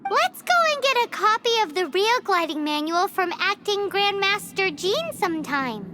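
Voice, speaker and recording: A woman voicing a small, cheeky, excitable girl companion in a game who talks about herself in the third person. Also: extremely high pitch, moderate pace, heavy background noise. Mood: chirpy. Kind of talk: game voice acting. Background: game music.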